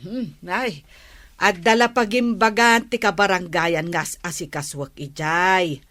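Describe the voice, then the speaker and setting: A woman playing a village captain's wife in an Ilocano comedy drama, purposeful and measured. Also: fairly low pitch, a bit bright. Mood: brisk, evasive. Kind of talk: Ilocano radio-style comedy drama acting.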